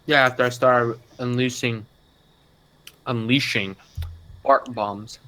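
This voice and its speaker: A man's voice: medium pitch, steady tone, slow pace, pushed and bright, low-quality recording. A man bantering casually as a player in a tabletop roleplay game.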